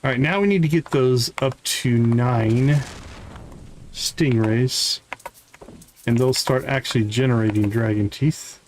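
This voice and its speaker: A man's voice: mid-range voice, measured pace, slight background noise. A man giving casual live commentary on a game he is playing, chatting to the characters as he goes. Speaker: game streamer. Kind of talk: live gameplay commentary, reading game dialogue aloud.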